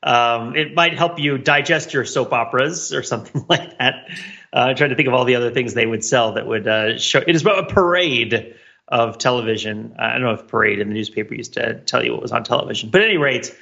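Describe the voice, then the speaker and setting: Bright-voiced American man, slightly muffled audio. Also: medium pitch, a little fast, creaky. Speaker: podcast co-host. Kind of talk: casual podcast chat.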